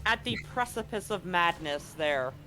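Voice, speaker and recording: A game master running a live tabletop roleplaying game, narrating and bantering excitably and repeating phrases for emphasis. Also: mid-range voice, a little slow, low-quality recording. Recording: music behind the voice. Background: background music.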